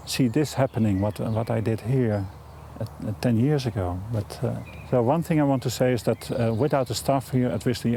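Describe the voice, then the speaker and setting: Mellow man, hesitant and searching for words. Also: medium pitch, a little hiss or hum. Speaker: garden designer.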